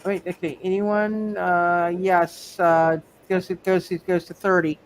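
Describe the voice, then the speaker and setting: Halting game master with noisy background. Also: mid-range voice, little pitch movement. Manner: halting, repeats words and phrases. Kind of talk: running a live tabletop superhero game. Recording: noticeable background noise.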